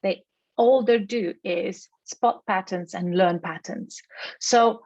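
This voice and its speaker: Woman, Slovenian accent, native Slovenian speaker, keynote lecturer, explanatory delivery, mid-range pitch, dry and slightly lo-fi recording. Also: slow, a little mellow, no echo. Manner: explanatory, with rhetorical questions.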